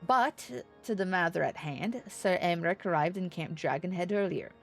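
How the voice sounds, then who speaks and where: A woman's voice: on the low side, moderate pace, soft background music, natural voice. A woman voicing a gracious, welcoming knight lord from a fantasy game, speaking in a courtly, florid way. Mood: gracious, courteous.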